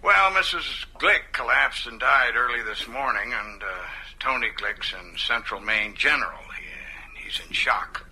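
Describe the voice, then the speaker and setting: An actor playing a small-town American constable speaking over the telephone in a radio drama. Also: male voice, medium pitch, measured pace, pushed and bright, husky, full character voice. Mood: subdued, halting.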